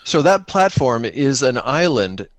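A man's voice: medium pitch, very animated, a little slow, no echo. A man co-running an improvised tabletop game, narrating casually and thinking aloud in a joking way. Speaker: co-player running a solo-engine tabletop game.

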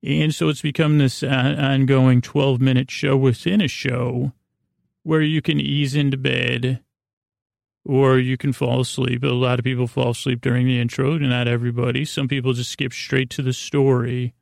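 A man hosting a sleep podcast in a creaky, lulling voice, rambling along in a meandering, halting bedtime monologue that often interrupts itself. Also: medium pitch, level tone, a little mellow, dry recording, clean studio sound. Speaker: podcast host.

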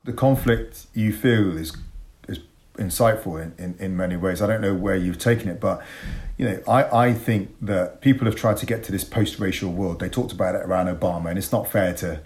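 A man in his forties with an English accent and a fairly low voice. He speaks as an interview guest, articulate and emphatic, building reflective arguments and repeating words to drive a point home. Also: a little fast, darkish tone. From Bristol, England. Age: 40s.

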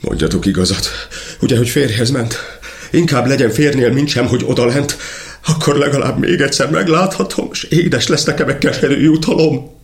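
An actor playing an impassioned, lovesick young folk hero in a verse radio play, with dramatic, pleading delivery. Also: male voice, medium pitch, echoey room, lightly acted. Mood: urgent, pleading.

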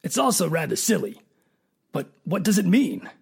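An actor playing a smug private detective who is a cold killer in a radio mystery drama, with a raspy, gravelly voice and a coolly sardonic manner. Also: medium pitch, big pitch swings, normal speed, darkish tone, exaggerated voice. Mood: skeptical.

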